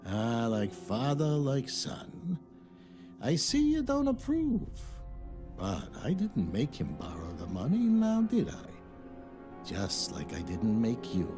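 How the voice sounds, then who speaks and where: An actor playing a smug, sly loan shark in a video game cutscene, talking slowly and mockingly. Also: male voice, wildly swooping pitch, music in the background. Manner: slow, mocking, theatrical, with swooping pitch.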